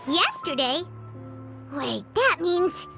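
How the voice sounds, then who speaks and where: A woman's voice: high voice, sing-song, slow pace, gravelly, over music, exaggerated voice. A woman voicing a small, eager, anxious childlike fairy companion in an anime video game. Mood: alarmed. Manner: refers to self in third person, earnest.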